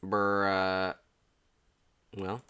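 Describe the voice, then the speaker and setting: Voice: low voice, completely flat delivery, very slow, bright, forceful tone, a little hiss or hum. A streamer with a slangy, exclamatory way of talking, reacting live to a game.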